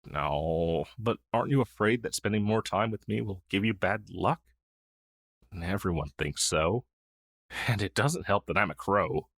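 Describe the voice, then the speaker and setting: A man's voice: lowish voice, expressive, measured pace, creaky, a bit bright, no echo, clean studio sound, heavily acted. A man playing a friendly, shy crow familiar in an intimate fantasy audio roleplay, speaking in a hesitant, earnest, conversational way. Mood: surprised, unsure. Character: friendly, shy crow familiar, a masterless male magical helper. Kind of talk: intimate ASMR roleplay acting.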